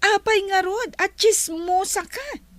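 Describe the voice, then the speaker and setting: A woman's voice: very high-pitched, a bit bright, dry recording, exaggerated voice. A woman in a radio drama playing a commanding wealthy madam who gives orders to her staff. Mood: stern. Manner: commanding, slow and deliberate. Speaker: wealthy lady of the house.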